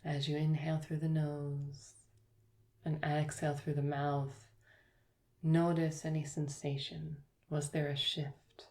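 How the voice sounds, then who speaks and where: A woman yoga teacher guiding a yoga nidra relaxation, speaking slowly and softly with long pauses. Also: deep voice, level tone. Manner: slow, soft, soothing guidance with long pauses.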